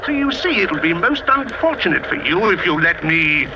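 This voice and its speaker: A man's voice: high-pitched, big pitch swings, moderate pace. An actor playing a belligerent, blustering man in an old radio drama, sputtering and sneering with spite. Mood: menacing, angry. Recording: noisy, muffled old radio broadcast recording.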